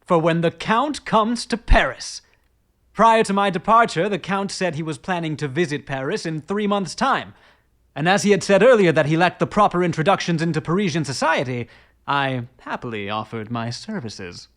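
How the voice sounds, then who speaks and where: A man's voice: fairly high pitch, expressive, normal speed, a bit bright. A man acting a genial, eager young aristocrat in a period audio drama, telling things in a polite, theatrical way. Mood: pleased.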